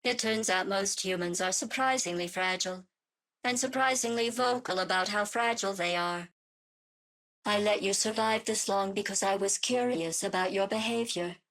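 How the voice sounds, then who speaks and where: Cold deadpan killer game ai, slow and crisp bright, bit of fry. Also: female voice, mid-range voice, poor audio. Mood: deadpan menace. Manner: deadpan, clinical, mock-polite. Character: cold, sardonic, murderous female artificial intelligence running tests. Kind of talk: video game voice acting.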